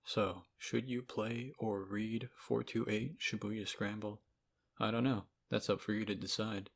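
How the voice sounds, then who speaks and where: A man's voice: lowish voice, flat delivery, measured pace, creaky. A man giving casual, opinionated video essay commentary as a game reviewer, speaking informally with sarcasm and profanity. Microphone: cheap microphone.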